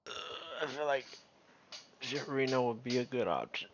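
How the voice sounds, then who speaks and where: A man's voice: medium pitch, expressive, normal speed, highs cut off, slight background noise. A young man streaming a game live, chatting casually and muttering his reactions to himself.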